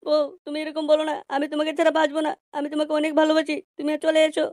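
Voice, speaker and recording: A woman acts a crude, hard-drinking village husband from a comedy cartoon. The voice is artificially high and races along very fast, coarse and blustering, in a dry sound. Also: completely flat delivery, a little mellow, dry recording, natural voice. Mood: pleading. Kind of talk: amateur comedy cartoon dubbing.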